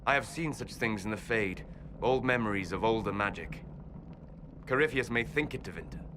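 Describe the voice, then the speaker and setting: A man playing a calm, scholarly elven mage in a video game, speaking in a grave, measured, formal way over music. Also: on the low side, background noise, close to an everyday voice. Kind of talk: video game cutscene acting.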